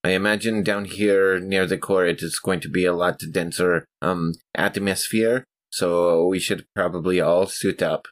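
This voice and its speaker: A man speaking as a player in a tabletop role-playing game, casual and deliberate as he talks through his actions. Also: deep voice, fairly even intonation. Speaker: player in a tabletop RPG podcast. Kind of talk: casual game talk, describing own actions.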